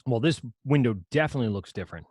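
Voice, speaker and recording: Casting: man, low voice, casual rambling teacher walking through software, dry close sound. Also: animated, rapid-fire, slightly rough, dry recording. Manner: casual, rambling, self-correcting explanations. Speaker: audio production teacher. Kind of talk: screen-share software lesson.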